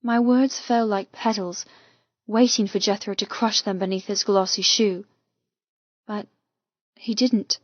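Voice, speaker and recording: A woman reading a first-person romance audiobook with intense, expressive, dramatic delivery. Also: mid-range voice, unhurried, long pauses, no room sound, lo-fi sound. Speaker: audiobook narrator.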